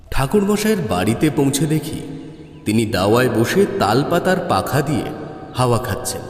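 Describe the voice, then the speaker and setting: A man's voice: medium pitch, a little mellow. A man narrating a horror story in a dramatic, scripted style. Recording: echoey long reverb, music underneath.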